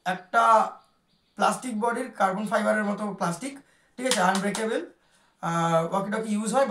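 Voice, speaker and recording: A woman giving a casual, chatty unboxing commentary, speaking straight to viewers with English words mixed in. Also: mid-range voice. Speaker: vlogger presenting a product. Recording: echoey room sound.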